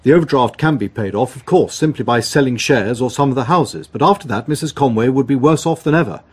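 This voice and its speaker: Man playing dry sober money adviser in a radio play, formal, measured, slightly husky. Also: mid-range voice, close to an everyday voice. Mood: dry, businesslike. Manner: measured, formal, matter-of-fact. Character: Gerald, sober, dry, cautious family business adviser. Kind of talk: radio drama acting.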